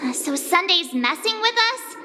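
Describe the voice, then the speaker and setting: An actress playing a perky, jittery young adventurer girl in a video game, speaking in an expressive, nervous way. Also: very high voice, measured pace, a little hiss or hum, full character voice. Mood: uneasy, puzzled. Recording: reverberant, loud music behind.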